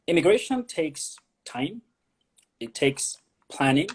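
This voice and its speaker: A man's voice: fairly high pitch, sing-song, slow, husky. A man from Nigeria, an immigration consultant, explaining pathways as an interview guest, often repeating words as he thinks.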